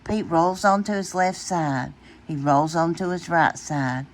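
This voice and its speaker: A woman reading a children's bedtime story aloud in an expressive, deliberate way. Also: lowish voice, a little hiss or hum. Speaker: storytime reader.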